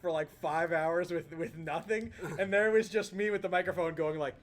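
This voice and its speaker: A man's voice: sits high, normal speed. A man joking and bantering with his co-hosts in casual chat, with frequent false starts. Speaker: show regular.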